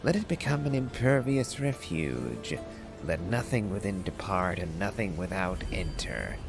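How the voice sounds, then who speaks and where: A man's voice: low-pitched, very animated, a little slow, vocal fry, over music, exaggerated voice. A man voicing a proud, stern ancient dragon from a game, in formal, declamatory phrases. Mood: solemn, resolute. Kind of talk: dramatic reading of game lore.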